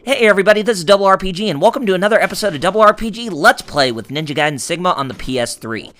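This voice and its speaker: A man with a hoarse, raspy voice hosting a let's play, rambling through his gameplay commentary with lots of fillers, restarts and small jokes. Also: sits high, animated, very fast, a bit bright.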